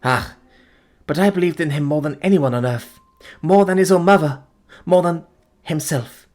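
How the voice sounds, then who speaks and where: A man's voice: medium pitch, animated, fast, husky, voice pushed up. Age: young adult. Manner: pleading, breathless, trembling. Figure: a devoted woman in mourning, as a male narrator puts her on, in an audiobook. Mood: anguished.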